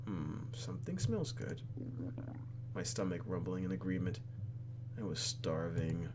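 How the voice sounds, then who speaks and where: A man's voice: low voice, animated, measured pace, noisy background. A man doing playful, exclamatory gameplay commentary as he reads a visual novel aloud, with faint music behind him. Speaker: let's play host.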